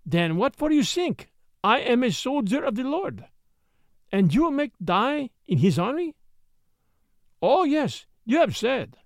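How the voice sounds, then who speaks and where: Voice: mid-range voice, big pitch swings, takes it slowly, long pauses, dry recording, clean studio sound, voice pushed up. A narrator voicing a pert, childlike young Mexican woman in a storybook, speaking broken English with a Spanish accent and a teasing lilt. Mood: wary, scolding. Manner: saucy, lilting, childlike.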